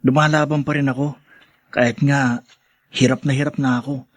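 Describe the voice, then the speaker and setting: A man's voice: medium pitch, flat delivery, normal speed, no echo, natural voice. An actor playing an earnest, hesitant teenage boy from a poor family in a Filipino radio drama, with a Filipino accent. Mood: earnest, weary. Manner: hesitant, respectful.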